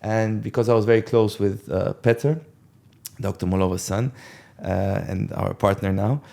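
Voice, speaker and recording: A man in his forties, a guest on an interview podcast, telling a long story in a relaxed, rambling way with many fillers and asides. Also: on the low side, normal speed, gravelly. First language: English. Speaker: podcast guest, company co-founder.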